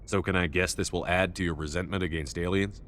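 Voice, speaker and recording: An actor playing a steady, reasoning military commander in a video game, speaking in a measured, matter-of-fact way. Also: male voice. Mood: probing. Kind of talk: video game dialogue acting. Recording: faint rumbling background noise.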